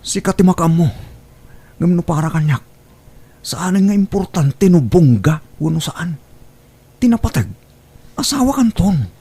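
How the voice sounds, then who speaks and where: A man's voice: sits high, expressive, unhurried, pauses often, dark tone, husky, exaggerated voice. A man with an Ilocano accent playing a plain-spoken, self-interested young suitor in an audio drama. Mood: earnest.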